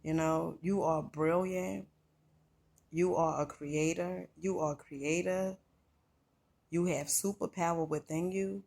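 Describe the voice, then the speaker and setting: Slow, earnest woman from New Jersey. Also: sits low, fairly even intonation, creaky, a little mellow. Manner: slow, earnest, conversational with many "you know"s and "right?"s. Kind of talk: motivational talk to camera.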